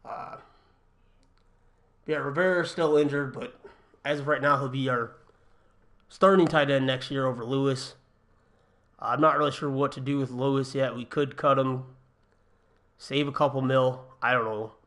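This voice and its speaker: A young man with a slightly raspy voice from a cold, chatting casually through a gaming livestream from his apartment, thinking aloud with ums and uhs. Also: medium pitch, fairly even intonation, moderate pace, pauses often, a bit bright. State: getting over a cold, a little raspy. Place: home apartment.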